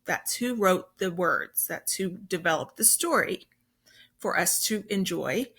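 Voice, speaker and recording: A woman's voice: lowish voice, animated, unhurried, gravelly, a bit bright, breathy edge. A woman teacher reading a children's story aloud, explaining simply and with emphasis as she goes.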